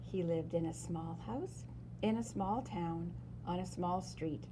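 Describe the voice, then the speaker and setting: A woman reads a children's story aloud expressively, with dramatic emphasis and pauses. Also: lowish voice, background noise. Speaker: storyteller reading a picture book aloud.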